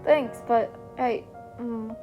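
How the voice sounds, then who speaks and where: A woman's voice: fairly high pitch, steady tone, drawn-out words, warm tone, faint music behind. A woman voicing a nervous, polite teenage boy student with a hesitant, flustered manner in an acted story.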